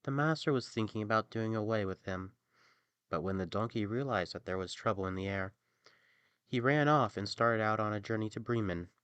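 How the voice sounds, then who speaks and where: A man narrating a fairy tale as an expressive storyteller. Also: fairly low pitch, brisk, clear, resonant tone, dry recording.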